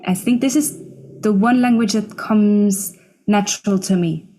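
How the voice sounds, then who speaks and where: Mellow young woman in interview. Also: mid-range voice, a little slow, a little hiss or hum, low-quality recording. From Switzerland. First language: Swiss German. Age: 20s. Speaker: interview guest, singer-songwriter. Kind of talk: casual, reflective interview talk.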